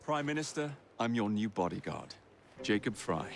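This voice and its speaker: A man's voice: fairly low pitch, very animated, normal speed, creaky. An actor playing a cocky, roguish young English man in a video game cutscene, breezy, wry and irreverent, with an English accent. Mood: smooth, assured. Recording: music behind the voice.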